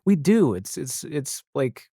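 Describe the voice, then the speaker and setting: A man's voice: fairly high pitch, sing-song, takes it slowly, mellow, husky, no echo. Wry man in a dry, close recording. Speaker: podcast guest, audio drama creator. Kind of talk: casual interview chat about television.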